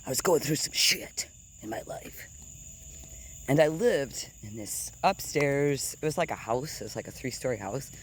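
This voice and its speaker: A deep-voiced woman in her thirties telling a rambling, digressive story while walking outdoors, swearing casually and sometimes dropping to a whisper. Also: lively intonation, normal speed, a little hiss or hum. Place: outdoors on grass at night with insects.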